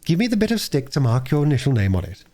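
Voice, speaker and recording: A man's voice: mid-range voice, huge pitch swings, fast talker, mellow, pitched above the performer's own voice. A man voicing a mild, peacemaking older girl in a storybook reading. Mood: conciliatory.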